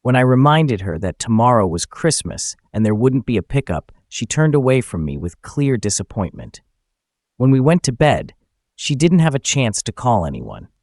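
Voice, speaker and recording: A man's voice: medium pitch, very animated, moderate pace, a little mellow, dry recording, studio quality. A man reading a scripted first-person story as narrator, acting out the dialogue as he goes. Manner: acts out the dialogue.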